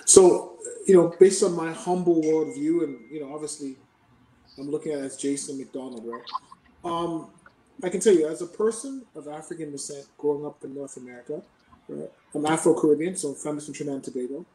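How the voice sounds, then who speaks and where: A Canadian man answering interview questions in a measured, explanatory way, often checking in with "right?". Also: fairly high pitch, mellow, reverberant, recorded from a distance, rough recording. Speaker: podcast guest.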